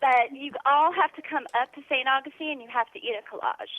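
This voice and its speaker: An excited woman calling in to a radio show as a fan over the phone. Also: high voice, a little fast. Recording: noisy phone line.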